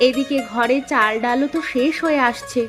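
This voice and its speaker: Woman acting a high-voiced village wife. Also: moderate pace, a bit bright. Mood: practical, concerned. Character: caring, practical village wife and mother. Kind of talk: cartoon voice acting. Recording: music behind the voice.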